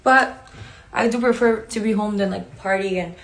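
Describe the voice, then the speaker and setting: A woman's voice: mid-range voice, normal speed. A woman chatting casually on a live stream, rambling and self-aware. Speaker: streamer answering chat.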